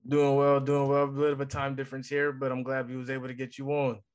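A man's voice: medium pitch, monotone, fast, smooth. A man hosting an interview podcast, asking friendly, upbeat questions. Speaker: podcast host.

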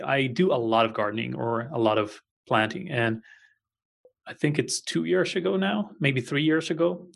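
A man's voice: medium pitch, vocal fry, fairly bright tone. A man co-hosting a podcast, chatting casually in a rambling, friendly way and sometimes searching for words. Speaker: podcast co-host. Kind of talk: casual chat.

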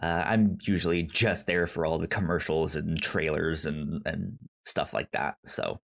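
A man hosting a casual film review podcast, talking haltingly and often stammering over the start of words. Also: very deep voice, steady tone, creaky. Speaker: podcast host.

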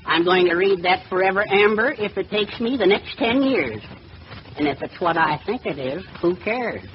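A wistful, henpecked middle-aged husband in an old radio comedy. He talks mildly and hesitantly with dry timing, over a muffled broadcast with clear hiss. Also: high voice, expressive, normal speed. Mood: wistful, confiding. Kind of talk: 1940s radio comedy acting.

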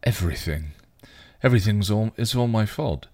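A man voicing a bitter, guilt-ridden male vampire, rough and full of crude slang. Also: on the low side, expressive, normal speed, a little mellow, no echo, voice pushed up. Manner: snarling, rough, clipped slang. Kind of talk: fan fiction reading.

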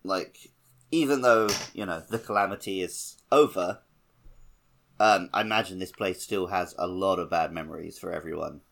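A man with a low voice co-hosts a gaming podcast. He chats casually and informally as he talks through a playthrough. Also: moderate pace, gravelly, a bit bright. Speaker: podcast co-host. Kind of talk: casual video game playthrough discussion.

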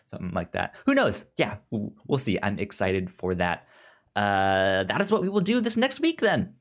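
A man's voice: lowish voice, very animated, normal speed. A man co-hosting a podcast in casual chat, stammering and often repeating words and syllables. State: short on sleep. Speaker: podcast co-host.

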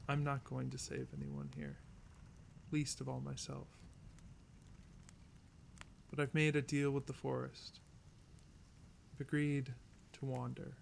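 A man's voice: mid-range voice, slow, vocal fry, pauses often, a little hiss or hum. A man performing a lonely, solemn wanderer yearning for a lost love in a fairy tale audio drama, over guitar. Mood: somber, resigned. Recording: acoustic guitar music behind the voice. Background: plucked acoustic guitar.

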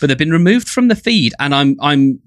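Halting, conversational man on a podcast. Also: fairly high pitch, animated, no echo, clean studio sound. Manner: halting, hesitant phrasing. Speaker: podcast co-host. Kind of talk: casual podcast chat.

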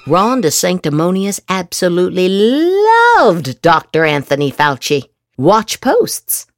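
A woman's voice: lowish voice, sing-song, slow pace, no echo, clean studio sound. A woman podcast host reading political social media posts aloud dramatically, with theatrical, exaggerated inflection.

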